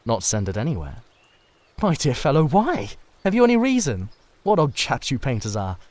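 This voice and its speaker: A man's voice: mid-range voice, huge pitch swings, normal speed, darkish tone, no room sound. A man voicing a languid, witty, vain aristocrat with a pompous drawl in a dramatised novel reading. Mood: amazed, chiding.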